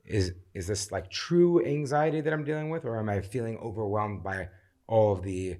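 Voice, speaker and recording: A man in his thirties, a podcast guest, speaking in a reflective, measured way. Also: lowish voice, a little mellow. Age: 30s. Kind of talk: casual podcast chat.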